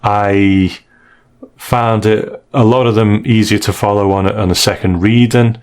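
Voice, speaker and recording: Low-voiced man hosting a podcast. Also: steady tone, measured pace, darkish tone, clear, resonant tone. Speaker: podcast host. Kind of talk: conversational podcast review.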